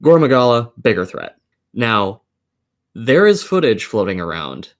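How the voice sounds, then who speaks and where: A man's voice: medium pitch, sing-song, measured pace. A man co-hosting a gaming podcast in a casual call, giving sweary, exasperated, emphatic opinions. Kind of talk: heated opinion rant in a casual group chat. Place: remote voice call over the internet.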